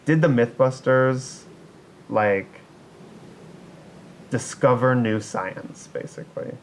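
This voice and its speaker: Male podcast co-host, average pitch, loose and conversational, faint rumbling noise on the recording. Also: slow pace. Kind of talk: casual banter. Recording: steady background noise with low rumble.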